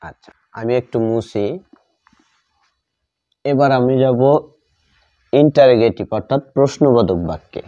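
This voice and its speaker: Man, Bengali accent, grammar teacher, mellow tone, repetitive dictation, spelled-out words, dry muffled lo-fi recording. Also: medium pitch, expressive, unhurried, long pauses, no room sound. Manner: repeats phrases and spells words out while dictating. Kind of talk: classroom grammar lesson, writing out examples while explaining. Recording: dull lo-fi recording.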